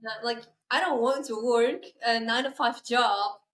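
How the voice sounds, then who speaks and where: A university-age woman hosts a casual chat with a fairly high voice. Her English is halting and full of fillers, and she agrees eagerly with her guest. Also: steady tone, a bit bright. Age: young adult. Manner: enthusiastic, halting non-native English with many fillers. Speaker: podcast host.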